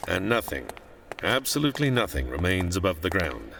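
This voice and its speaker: A man's voice: deep voice, very animated, normal speed, gravelly, a bit bright, a little hiss or hum, full character voice. A man playing a proud, learned older scientist who lectures formally and grandly in a radio sci-fi drama. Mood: grave, proud. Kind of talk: old-time radio sci-fi drama acting.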